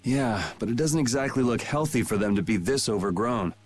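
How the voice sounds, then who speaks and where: A man's voice: medium pitch, lively intonation, brisk, lightly acted. A man voicing a cool, sardonic young man in a video game, with a dry, laid-back wit. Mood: wry. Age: young adult. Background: game music.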